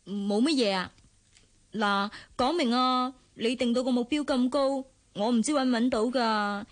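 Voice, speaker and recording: A woman's voice: a little high, a little slow, natural voice. A young woman playing a cheeky, independent eighteen-year-old daughter in a radio drama, pert and protesting, with a Hong Kong accent. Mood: indignant. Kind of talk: Cantonese radio drama acting.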